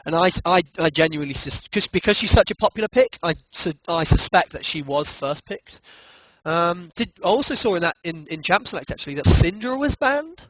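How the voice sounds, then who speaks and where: A man's voice: high-pitched, animated, a little fast, a bit bright, no echo, poor audio. A young man co-casting an esports match, eager and prone to repeating himself. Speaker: esports caster. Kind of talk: game commentary.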